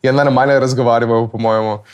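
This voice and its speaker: A man talks bluntly, stumbling through fillers and swearing freely. His voice sits at a medium pitch. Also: fairly even intonation, fast talker. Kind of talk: casual chat.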